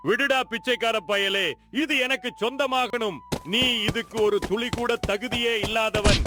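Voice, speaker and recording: A man's voice: very high-pitched, fairly even intonation, unhurried, music in the background. A man playing a greedy, scheming village moneylender in a dramatized moral story, mocking and grasping in manner. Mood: menacing.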